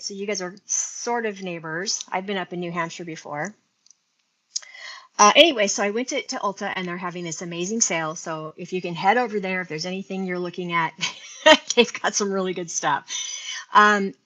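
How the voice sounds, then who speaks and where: A woman's voice: lowish voice, pushed and bright, low-quality recording. A sixty-year-old woman hosting a casual beauty live stream, chatting and rambling to her viewers, talking into an earphone mic linked to her phone. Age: 60s. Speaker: beauty livestream host.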